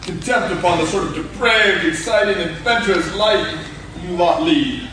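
A man's voice: sits high, moderate pace, bright, forceful tone. A man playing a gallant, indignant protector in comic radio theatre, speaking in florid, melodramatic mock-heroic fashion. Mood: wry. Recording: distant, very echoey, noisy stage recording.

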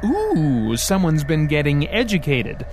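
A man voicing a smooth, sarcastic male devil who teases and threatens by turns in an audiobook. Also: on the high side, sing-song, normal speed, background noise, voice pushed up. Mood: taunting. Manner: teasing, sarcastic, theatrical. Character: sly mocking male devil, smooth, menacing.

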